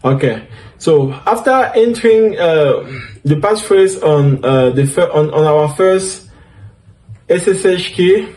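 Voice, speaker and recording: A man's voice: on the high side, animated, slow, a little mellow. A man with a French accent, French his first language, walking through a tech tutorial step by step and often correcting himself. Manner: talks through each step, many fillers and self-corrections. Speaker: DevOps instructor. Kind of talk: screen-share tutorial walkthrough.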